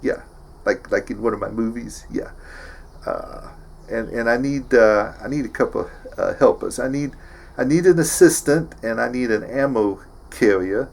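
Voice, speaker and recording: A man acts out a slow-witted action-movie tough guy in a comedy sketch. The voice is mid-pitched with a heavy fry, and he stammers and mumbles as he begs favours and brags. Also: darkish tone. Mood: dim-witted bragging. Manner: halting, mumbling, stammering braggadocio.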